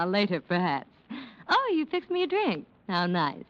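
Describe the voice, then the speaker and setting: A woman's voice: on the low side, huge pitch swings, unhurried. An actress playing a coolly scheming wealthy widow in a 1940s radio mystery, coy and polished in her mock innocence. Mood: breezy, sweet. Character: Janet, coolly scheming wealthy widow, feigning sweet helplessness. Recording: muffled old radio broadcast, organ music behind.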